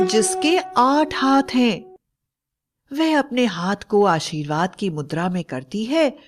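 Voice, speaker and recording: A woman narrating a scripted moral story in a storybook style. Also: medium pitch.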